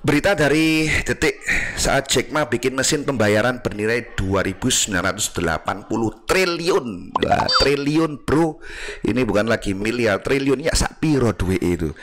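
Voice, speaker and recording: A man's voice: medium pitch, expressive, moderate pace, fairly bright tone, slight background noise. A man hosting a casual commentary, chatty with laughing asides. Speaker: commentary host.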